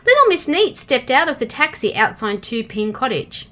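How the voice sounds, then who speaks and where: A woman with an Australian accent reading a children's storybook aloud in an expressive way, addressing young listeners directly. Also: high-pitched, moderate pace. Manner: expressive, asks the listener questions.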